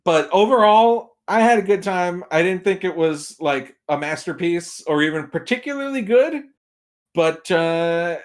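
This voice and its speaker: A man's voice: high voice, animated, measured pace. A man talking casually as a podcast guest, giving film opinions and acting out imagined reactions as he tells it. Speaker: podcast guest, movie critic.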